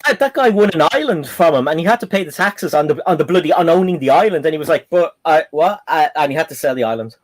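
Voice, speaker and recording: An Irish man hosts a relaxed panel chat, his voice sitting a touch high and swinging widely in pitch. He talks in a chatty, animated way, telling little stories with quoted asides. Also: fast, few pauses. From Ireland. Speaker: live stream host.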